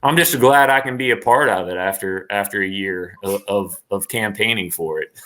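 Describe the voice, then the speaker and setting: A man's voice: fairly low pitch, moderate pace, fairly bright tone. A man talking casually as an interview guest, rambling and enthusiastic in a slangy way.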